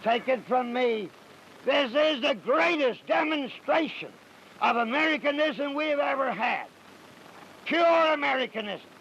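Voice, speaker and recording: Fiery colonel rallying veterans: high, bright, emphatic, folksy stump oratory for period radio drama. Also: male voice, animated, unhurried, creaky, lo-fi sound, slight background noise, full character voice. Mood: rousing, defiant. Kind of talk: 1930s public speech in a radio play.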